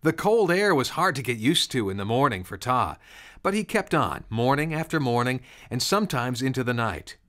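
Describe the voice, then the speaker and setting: A man's voice: medium pitch, very animated, normal speed, a bit bright. A man reading scripted storybook narration of a Christmas tale. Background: music.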